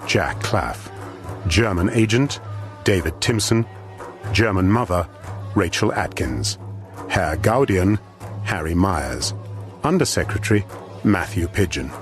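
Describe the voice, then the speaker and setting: Deep male radio drama announcer. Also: lively intonation, slow, long pauses. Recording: music behind the voice.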